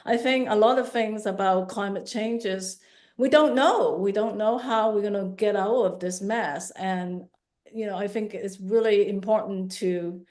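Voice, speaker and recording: A woman's voice: medium pitch, measured pace, a little mellow. A woman in her fifties from Hong Kong answering questions in a conversational artist talk, thinking aloud and correcting herself as she goes. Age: 50s. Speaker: visual artist giving an artist talk.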